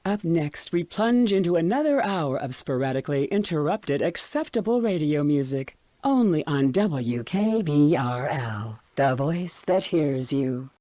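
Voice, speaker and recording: An actor playing an eerily upbeat, controlling radio station announcer, delivering polished, deliberate promos. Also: male voice, a little high, expressive, warm tone, dry recording. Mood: smooth, ominous.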